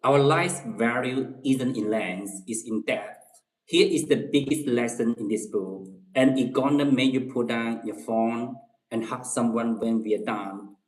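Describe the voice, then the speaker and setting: A man with a Chinese accent chats slowly and unhurriedly with his online viewers. He repeats his phrases and keeps checking in with "okay?" as he goes. His voice sits in a middle pitch and rings in a roomy, echoing space. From China. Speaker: livestream host. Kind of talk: casual livestream chat with viewers.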